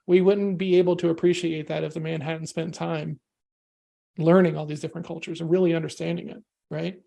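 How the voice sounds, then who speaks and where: A man's voice: fairly high pitch, level tone, fairly quick, vocal fry, mellow. A man from the United States, a podcast guest talking casually and energetically, often adding "right?" and "you know". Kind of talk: casual discussion.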